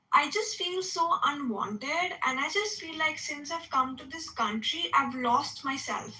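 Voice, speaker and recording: A woman in her twenties with an Indian accent speaks in a high, very bright voice. She sounds earnest and apologetic, with an emotional edge. The call audio is echoey and distant from the mic. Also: slight background noise. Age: 20s. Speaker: caller. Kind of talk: open call-in discussion.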